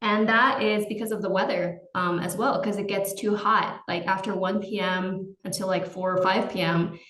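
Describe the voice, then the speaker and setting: A woman's voice: medium pitch, steady tone, moderate pace, a bit bright, echoey room, poor audio. A young adult woman from Argentina, a podcast guest chatting casually and laughing easily. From Salta, Argentina. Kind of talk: casual interview chat. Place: at home in Salta.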